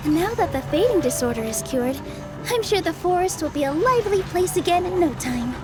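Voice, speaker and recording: A woman's voice: extremely high pitch, lively intonation, fairly quick. A woman playing a gracious, upbeat young lady traveller in an anime-style game, polite and friendly. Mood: pleased. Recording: music behind the voice.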